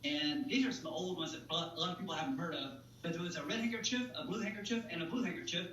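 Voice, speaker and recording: A man with a high, bright voice performs as a magician on an interview show. His patter is playful and folksy. He sounds roomy and set back from the microphone. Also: very fast. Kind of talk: chatty magic demonstration and interview. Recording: roomy, distant mic, faint noise and hum.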